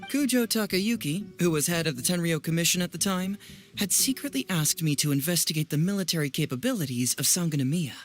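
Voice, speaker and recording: A man's voice: fairly high pitch, animated, fast talker, soft background music, close to an everyday voice. An actor playing a clever, playful young male detective in an anime video game, musing theatrically and teasingly. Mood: breezy, reminiscing.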